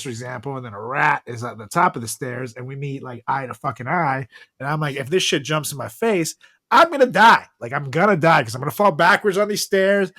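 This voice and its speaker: A man in a casual comedic podcast chat, telling stories in a profane, animated way. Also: medium pitch, dry recording. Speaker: podcast host.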